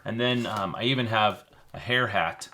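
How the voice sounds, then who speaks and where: A man co-hosting a children's show, explaining a craft casually in an informal, halting way. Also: lowish voice, bright, forceful tone. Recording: faint background noise.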